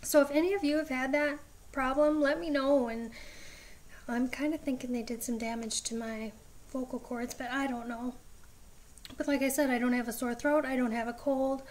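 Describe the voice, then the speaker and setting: Chatty raspy-edged woman vlogger. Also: high voice, steady tone, normal speed. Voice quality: raspy voice at times. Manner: chatty, rambling, frequent ums. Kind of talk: casual vlog update.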